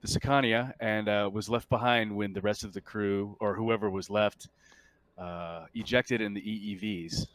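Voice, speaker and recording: A man voicing a polite, earnest android crewman in a tabletop sci-fi game, speaking in a measured, halting, matter-of-fact way. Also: on the low side, fairly even intonation, a bit bright, smooth, dry recording, close to an everyday voice. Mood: earnest, grave.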